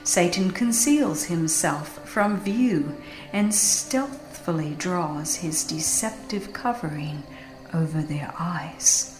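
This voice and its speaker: Slow, solemn woman narrator in echoey sound. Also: lowish voice, music in the background. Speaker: audiobook narrator. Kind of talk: scripted dramatized religious audiobook narration.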